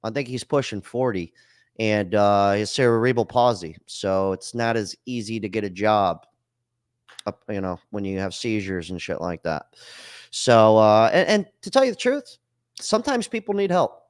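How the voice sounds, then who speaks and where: A man's voice: fairly low pitch, animated, moderate pace, clean tone, no room sound, clean studio sound. A man hosting a call-in podcast, talking loosely and bluntly in slang, swearing freely. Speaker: podcast host. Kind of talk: casual chat.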